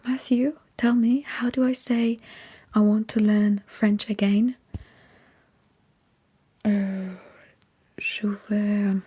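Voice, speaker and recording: Female ASMR streamer, mellow and heavily whispered, breathy, unhurried and halting, chatting to live viewers. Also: medium pitch. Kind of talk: whispered ASMR livestream chat.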